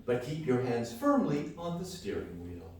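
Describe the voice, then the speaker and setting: A man playing a calm meditation host in a spoof radio sketch, giving gentle deadpan instructions. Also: mid-range voice, unhurried, slight background noise, voice pushed down. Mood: soothing, deadpan. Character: soothing male guided-meditation host, deadpan comic. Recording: echoey hall stage, distant mic.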